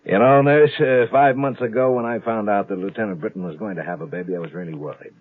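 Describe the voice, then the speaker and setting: A man's voice: lowish voice, steady tone, fast, close to an everyday voice. An American man playing a worried, brusque but caring military doctor on a space station, in 1950s radio drama style. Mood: relieved, chatty. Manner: exasperated, authoritative. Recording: muffled lo-fi 1950s radio recording.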